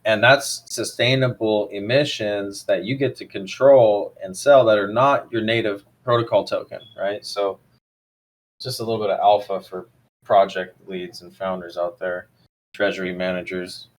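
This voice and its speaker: A man hosting a casual online crypto chat, relaxed, with frequent ums, trailing off softly. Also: lowish voice, normal speed, poor audio. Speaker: host of crypto investor AMA.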